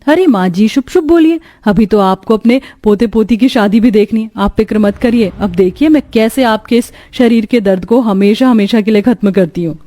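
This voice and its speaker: A young village daughter-in-law from a cartoon moral story voiced by a woman, devoted and respectful. She speaks in a fairly high, very mellow voice with a caring, deferential manner. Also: moderate pace, hardly any pauses, close to an everyday voice. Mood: concerned.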